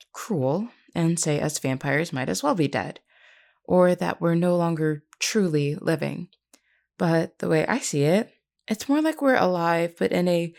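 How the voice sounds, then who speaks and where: Woman voicing a vampire queen, gentle, courteous, lowish pitch, gravelly fry, intimate audio roleplay, reassuring manner. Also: expressive, unhurried. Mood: warm, reassuring. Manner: polite, soft-spoken reassurance with hesitant asides.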